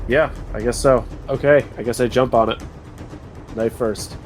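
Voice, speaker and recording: A man's voice: mid-range voice, fairly even intonation, normal speed, soft background music. A man chatting casually as a player in a tabletop game, with a wry, joking manner.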